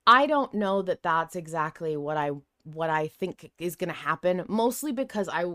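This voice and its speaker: A woman podcast host in casual fan discussion, telling things in an animated way with quoted asides. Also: on the low side, normal speed, no echo, clean studio sound.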